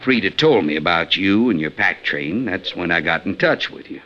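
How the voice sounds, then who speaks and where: Boastful frontier robber for a radio western: lowish, hard-edged voice, blunt and menacing, lo-fi vintage sound. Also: male voice, animated, normal speed. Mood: scheming, callous. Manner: talkative, folksy, blunt, menacing. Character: brash, boastful, ruthless male frontier gold robber. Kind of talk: old-time radio western acting. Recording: lo-fi 1950s radio recording, faint noise.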